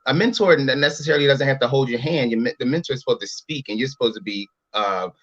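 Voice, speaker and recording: A man's voice: medium pitch, fast, fairly bright tone, no room sound, muffled recording. A man in his late thirties from Philadelphia, a guest in a casual interview, talking in long rambling runs and often adding "you know what I'm saying". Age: 30s. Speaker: interview guest, brand entrepreneur.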